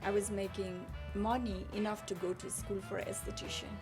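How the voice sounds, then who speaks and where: Earnest woman from Nepal, gravelly voice. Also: mid-range voice, little pitch movement, moderate pace, over music. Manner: earnest, searching for words, many restarts. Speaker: guest, spa owner. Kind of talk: casual interview chat.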